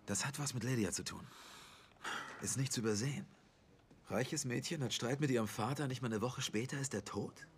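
An actor playing a brisk police investigator in dubbed series dialogue, insistent and businesslike. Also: male voice, mid-range voice, slight background noise, lightly acted.

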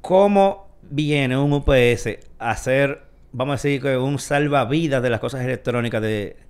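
A man's voice: mid-range voice, a little slow, smooth. Chatty man hosting live. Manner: chatty, rambling, with many fillers. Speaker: host of a weekly live tech show. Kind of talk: casual live show talk.

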